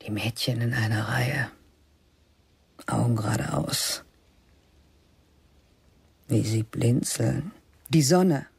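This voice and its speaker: Slow, husky woman narrating. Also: very deep voice, a little mellow, no room sound. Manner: slow, measured, spare delivery, often dropping to a whisper. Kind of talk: scripted literary narration for a radio play.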